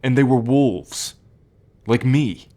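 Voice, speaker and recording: A young man playing a troubled, protective male werewolf in an ASMR roleplay, speaking in a measured, halting, confiding way. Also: medium pitch, dark tone, natural voice. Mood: earnest.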